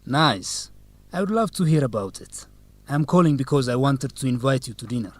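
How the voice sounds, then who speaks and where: An actor playing an eager, courteous young student council president in an educational radio drama, polite and direct. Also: male voice, medium pitch, animated, normal speed, no echo, lightly acted.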